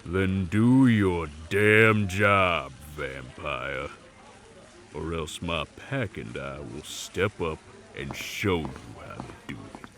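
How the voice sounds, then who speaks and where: A slow, low male voice, a man acting the leader of a werewolf pack. He is gruff and confrontational, loading his deliberate delivery with scorn and sarcasm in an audio drama. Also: expressive, fairly bright tone, exaggerated voice. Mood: angry, threatening.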